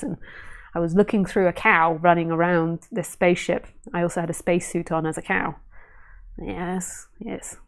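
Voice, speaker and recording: A woman spiritual teacher in a casual live stream, talking gently and unhurriedly, musing with fillers and restarts. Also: fairly low pitch. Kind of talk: casual live stream talk answering viewer questions.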